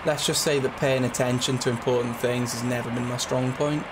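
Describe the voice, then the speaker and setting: A young man with a slightly raspy, hoarse voice from a cold, chatting loosely with lots of fillers while playing a train simulator. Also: medium pitch, steady tone, fairly quick. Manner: rambling, chatty, with frequent fillers. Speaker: gaming channel host. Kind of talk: casual commentary over a train simulator. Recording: loud game train noise behind.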